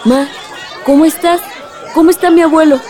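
A high-voiced young woman, played by an actress, eager and anxious, in a radio soap. She speaks earnestly as a worried daughter and granddaughter, with faint chatter behind her. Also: fairly even intonation, normal speed, close to an everyday voice. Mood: urgent, earnest. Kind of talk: radio drama acting.

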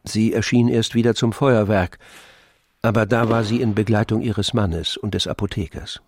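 Lowish male radio-play narrator. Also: fairly even intonation, moderate pace.

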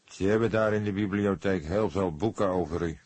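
A man's voice: deep voice, a little fast, a little mellow, slightly rough, dry recording, voice pushed up. A man voicing a terse, nonchalant, sullen young petty thief in an audiobook. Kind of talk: audiobook character dialogue.

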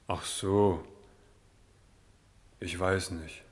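An actor playing a placid, slow-witted hotel porter on trial, halting and matter-of-fact, in a satirical radio drama. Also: male voice, low-pitched, steady tone. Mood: bewildered. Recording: reverberant hall.

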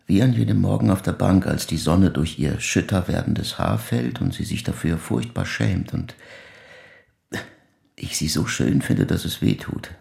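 An actor playing a grieving older man who speaks reflectively and slowly in a measured, pensive audio drama monologue. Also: lively intonation, darkish tone, heavily acted. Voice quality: very deep, gravelly, breathy at phrase ends. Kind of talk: slow literary dramatic monologue.

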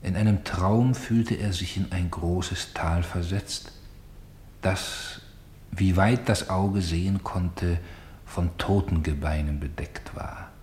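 An actor playing a grave, sorrowful storyteller in a radio play, with solemn and deliberate phrasing. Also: male voice, sits low, a little mellow, slight background noise. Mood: solemn, prophetic. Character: grave, sorrowful male storyteller bearing witness to tragedy.

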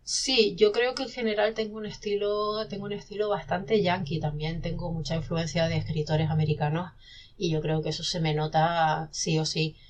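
Chatty novelist woman, lowish voice. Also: moderate pace, lo-fi sound. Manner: animated, talkative, many restarts and laughs. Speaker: self-published novelist guest. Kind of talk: casual podcast conversation.

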